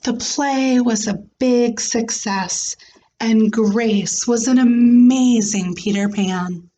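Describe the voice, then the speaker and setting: A woman reading a children's storybook aloud in a deliberate, expressive way, like a teacher with a class. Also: fairly high pitch, rough recording.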